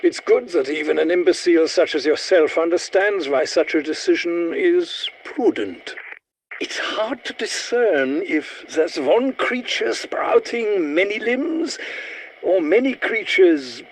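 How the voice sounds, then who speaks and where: An actor playing a resentful old scientist in a video game, speaking in a formal, wordy, dryly sardonic manner. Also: male voice, sits high, lively intonation, measured pace, slight background noise, rough recording. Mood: dry, bitter. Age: older. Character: resentful old German scientist, erudite, fussy, sardonic, excitable. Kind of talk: video game character voice lines.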